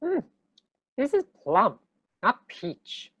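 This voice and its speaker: A woman's voice: a little high, huge pitch swings, very slow, fairly bright tone, husky, no echo, muffled recording, heavily acted. A woman playing a confident, matter-of-fact twenty-five-year-old repair-shop worker at a comedy table read. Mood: casual, teasing.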